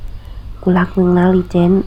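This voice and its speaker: A woman with a nasal voice voicing an intense young man slowly confessing his love, recorded muffled from a distance. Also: close to an everyday voice. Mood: tender. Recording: muffled, distant home mic.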